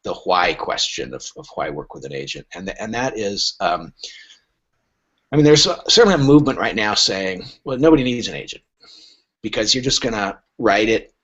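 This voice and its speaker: Animated man in dull lo-fi audio. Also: fairly low pitch, moderate pace. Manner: animated storyteller who acts out imagined dialogue. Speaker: literary agent and podcast guest. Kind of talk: casual podcast discussion.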